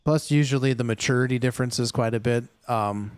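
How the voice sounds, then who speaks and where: A man's voice: mid-range voice, a little fast, smooth, no echo. A man hosting a casual gaming livestream, talking to his viewers in a rambling way with many fillers and restarts. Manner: chatty, talks straight to chat viewers.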